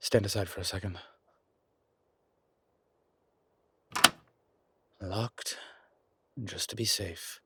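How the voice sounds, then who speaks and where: A man playing a commanding male incubus demon investigator in an audio roleplay, terse and colloquial, with a low, husky voice. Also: fairly even intonation, normal speed, heavy background noise, lightly acted. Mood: urgent, alarmed. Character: gruff, urgent male incubus demon investigator, protective, wryly friendly. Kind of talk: immersive audio roleplay acting.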